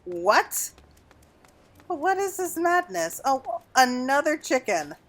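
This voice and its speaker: A woman giving casual, exclamatory gameplay commentary in an animated way. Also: sits high, slow pace. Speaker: gaming video creator. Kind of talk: casual gameplay commentary with reading aloud of game dialogue.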